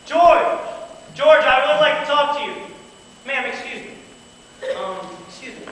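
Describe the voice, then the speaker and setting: A man's voice: extremely high pitch, expressive, unhurried, a bit bright, full character voice. A man acting an eager, effusive newspaper photographer in an amateur stage play. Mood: urgent, polite. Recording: echoey hall from far off, faint noise.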